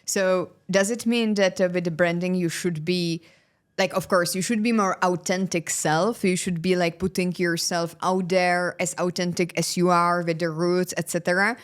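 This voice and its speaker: Low-voiced woman hosting a podcast. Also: fairly even intonation, moderate pace. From Czech Republic. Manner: affirming, lots of listening murmurs, asks long questions. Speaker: podcast host. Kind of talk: casual interview talk.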